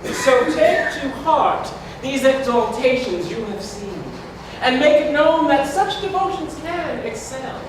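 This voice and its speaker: A man playing a grand, festive epilogue speaker in a wedding masque, declaiming flowery, measured verse on stage. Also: extremely high pitch, lively intonation, distant mic. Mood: grandly festive. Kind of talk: theatrical verse staged reading. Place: community hall stage. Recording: echoey hall from afar, clear noise.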